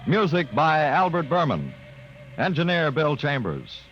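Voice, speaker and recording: Animated male old-time radio announcer. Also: a little high, a little slow, soft background music. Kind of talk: 1950s radio scripted announcing. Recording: muffled old radio recording.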